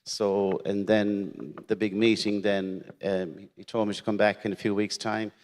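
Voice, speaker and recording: A middle-aged Irish man, whose first language is Irish, telling a personal story at a conference in a halting way, repeating words and laughing wryly. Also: fairly low pitch, level tone, darkish tone, slight background noise. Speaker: shed member telling his story. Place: conference hall. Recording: long hall reverb.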